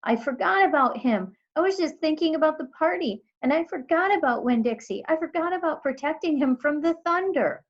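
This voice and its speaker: Storybook young girl, played by a woman: anxious, emotional, higher-pitched, pleading, folksy; slightly dull recording. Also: lively intonation, brisk, few pauses. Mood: panicked, guilty.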